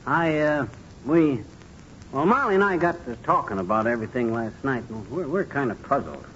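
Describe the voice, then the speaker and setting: Blustery 1940s radio husband, played by a man, animated pitch. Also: normal speed, lightly acted. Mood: folksy, puzzled. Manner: blustery, folksy exclamations. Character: excitable, blustering, gullible middle-aged husband. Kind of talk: 1940s radio comedy acting. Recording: muffled, faintly noisy 1940s radio recording.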